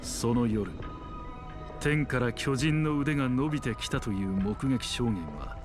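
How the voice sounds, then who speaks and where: A man's voice: medium pitch, brisk, lightly acted. Man acting a solemn game storyteller over music. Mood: solemn, dramatic. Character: solemn, dramatic male storyteller of a fantasy game. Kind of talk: scripted dramatic narration in Japanese.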